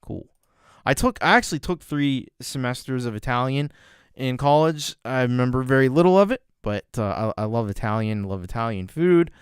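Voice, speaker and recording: A man hosting a casual livestream, reacting to music and rambling warmly with many fillers and restarts. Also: mid-range voice, lively intonation, normal speed, clean tone, dry recording, studio quality. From Canada. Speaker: music reaction show host.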